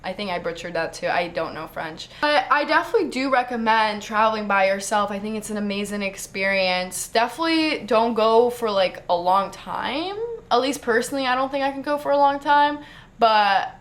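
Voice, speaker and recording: A young American woman telling a travel story to camera in a chatty, casual way, full of fillers and quick laughs. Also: a little high, a bit bright. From United States. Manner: chatty, fast, lots of "like", laughs often. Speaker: travel vlogger.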